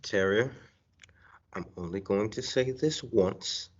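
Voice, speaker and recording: Stern, low-voiced bossy man acted by a man. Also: slow. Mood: threatening. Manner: stern, sarcastic, commanding. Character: gruff, bossy, exasperated male radio show boss. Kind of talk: high school stage radio-play acting. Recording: dull lo-fi recording.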